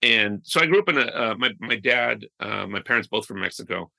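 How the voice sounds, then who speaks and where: A man chatting as a podcast guest, telling stories with animated restarts and trailing phrases. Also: on the low side, a little fast, creaky, low-quality recording. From United States. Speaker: guest musician. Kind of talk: casual interview chat.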